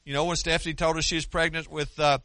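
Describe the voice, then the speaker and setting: An older American man preaching with a high, piercing, very bright voice. He speaks earnestly and conversationally, breaking off and restarting sentences. The sound is dry and close, with no room echo. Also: level tone, fast. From United States. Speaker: pastor. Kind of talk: sermon.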